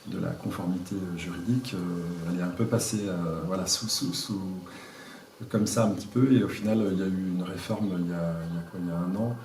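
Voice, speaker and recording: A man answering interview questions as a village mayor, speaking informally and haltingly as he searches for words. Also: sits low, creaky, a little mellow. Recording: echoey room, distant mic, faint noise.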